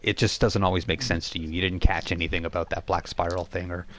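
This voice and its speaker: Male game master with a low voice, improvised tabletop narration, conversational and halting. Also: flat delivery. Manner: halting, conversational, repeats phrases while improvising. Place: live online game stream.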